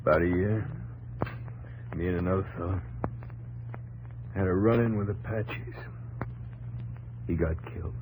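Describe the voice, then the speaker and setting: A man's voice: low voice, measured pace, pauses often. A young man playing a determined, desperate desert prospector with intense delivery in a 1950s radio drama. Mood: subdued, stubborn. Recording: noisy old 1950s radio broadcast.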